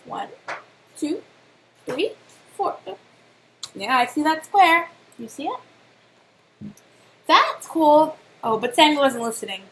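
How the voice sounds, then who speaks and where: A woman reading a children's storybook aloud like a teacher, expressive and pausing to put questions to young listeners. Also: high voice, rough recording.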